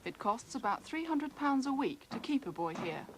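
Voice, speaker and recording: A woman's voice: high voice, very animated, moderate pace. A British woman reading scripted documentary narration as a news reporter. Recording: faintly noisy archive newsreel.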